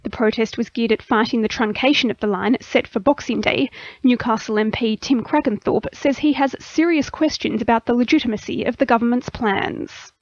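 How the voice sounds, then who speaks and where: Australian woman, news reader, scripted delivery, highish pitch, slightly muffled radio audio. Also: moderate pace, dry recording. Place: dry radio studio.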